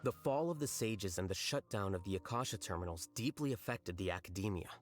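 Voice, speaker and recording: A man's voice: fairly low pitch, animated, a little fast, faint music behind, close to an everyday voice. An actor voicing a detached, blunt young male scholar and official in a video game, speaking in a dry, matter-of-fact way.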